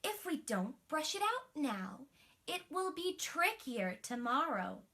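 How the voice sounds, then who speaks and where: A woman's voice: sits high, very animated, slow, bright, forceful tone, pitched above the performer's own voice, exaggerated voice. A woman voicing a patient queen and mother in a bedtime story, pleading in a coaxing, motherly way.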